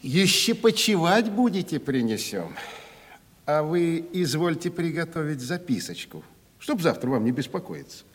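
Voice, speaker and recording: An actor playing a crafty, uneducated provincial timber merchant in a Russian radio play, wheedling and mock-humble in a folksy way. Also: male voice, on the high side, big pitch swings, normal speed, breathy edge. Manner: wheedling, mock-humble, colloquial peasant turns. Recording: reverberant 1970s radio play recording.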